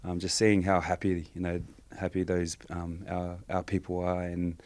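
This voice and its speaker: Flat, deep-voiced New man. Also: normal speed. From Samoa. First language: Samoan. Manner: soft-spoken, hesitant, many fillers. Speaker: guest. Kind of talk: reflective interview answers.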